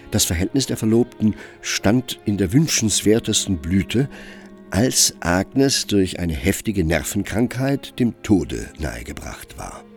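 A man narrating a literary radio play from a script, telling the story in a measured way with expressive emphasis. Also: low-pitched.

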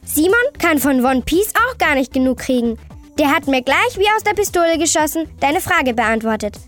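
Woman voicing a high-pitched chirpy girl host. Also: expressive, normal speed, faint music behind. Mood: animated, chatty. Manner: exclamatory, chummy, teasing youth slang. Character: Sally, chirpy excitable cartoon-like girl host. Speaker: host answering young callers' questions. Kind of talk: scripted children's audio show.

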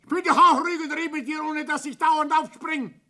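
A man's voice: very high-pitched, steady tone, fairly quick, sharp tone, a little hiss or hum, full character voice. An actor playing a domineering, hot-tempered old Bavarian father and businessman in a radio play, blustering and commanding with a Bavarian accent. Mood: pleading, pressuring. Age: older. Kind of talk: German radio play acting.

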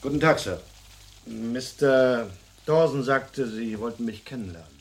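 An actor playing a hardboiled, controlled investigator in a vintage German radio crime drama, measured and deliberate. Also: male voice, medium pitch. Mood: polite, guarded.

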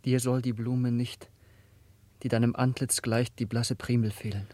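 An actor playing a proud, fearless young prince of the wilds in a classical radio play, speaking in a poetic, declamatory way. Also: male voice, mid-range voice, flat delivery, unhurried, darkish tone, no echo, close to an everyday voice. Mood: grieving, hushed.

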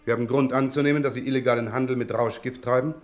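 An actor playing a brisk, formal police inspector in a German radio crime drama, polite but insistent. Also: male voice, mid-range voice, steady tone, soft background music, lightly acted. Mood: firm, official. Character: firm, formal male Scotland Yard police inspector.

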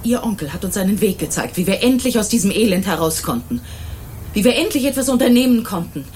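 A woman plays a stern middle-aged mother in a radio crime drama. Her voice is on the low side and swings widely as she justifies herself with indignant, imperious force. There is faint noise on the recording. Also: expressive, quick pace, a little mellow, natural voice. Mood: bitter, insistent. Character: indignant, self-righteous middle-aged mother defending vigilantism.

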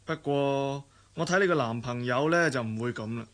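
An actor with a Hong Kong Cantonese accent playing an easygoing young adult elder brother in a radio drama, wry and reflective. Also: male voice, medium pitch, normal speed, a bit bright, close to an everyday voice. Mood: wry, teasing.

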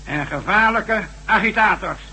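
An actor playing a smug, commanding villain chief who speaks deliberately in a radio drama. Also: male voice, high voice, expressive, pushed and bright, heavily acted. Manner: deliberate, commanding, self-satisfied. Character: smug, bossy male villain chief persuading underlings. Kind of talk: science fiction radio drama acting. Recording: old radio drama with faint hum.

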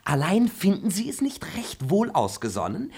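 A husky, sly servant in a classic radio play, swinging pitch, teasing. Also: male voice, huge pitch swings, normal speed, a little mellow, heavily acted. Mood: mocking. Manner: teasing, laughs at his master. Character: cheeky scheming young manservant, mocking liar. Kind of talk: stage radio play acting.

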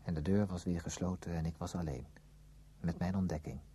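Deep, mellow, earnest man. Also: steady tone, brisk, slight background noise. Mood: hushed, grave. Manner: earnest, careful, literary. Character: earnest male friend, probing, narrating his discovery. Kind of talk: Dutch radio play acting and narration.

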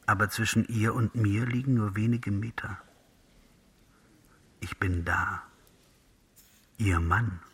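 A seductive poetic guy for a radio play, husky lowish voice, close dry sound. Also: steady tone, slow pace, pauses often, fairly bright tone, heavily acted. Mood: seductive, hushed. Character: sensual, seductive male interpreter with poetic fervour. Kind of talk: radio drama monologue, literary and poetic.

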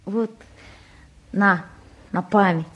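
An actress playing a shy, tender young woman with a hesitant, gentle manner in a Soviet radio drama. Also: medium pitch, very slow, husky, heavily acted. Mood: shy, hushed. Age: young adult. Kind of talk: mid-century Soviet radio drama acting.

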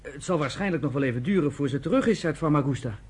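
An actor playing a polite, deferential hotel porter in a Dutch radio drama, husky and formally servile. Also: male voice, on the high side, animated, fast talker, darkish tone. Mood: courteous.

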